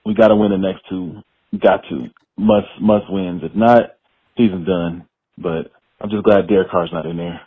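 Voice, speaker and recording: A man's voice: deep voice, fairly even intonation, normal speed, breathy edge. A man leaving an insistent fan voicemail in clipped phrases over a tinny phone line. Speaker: voicemail caller.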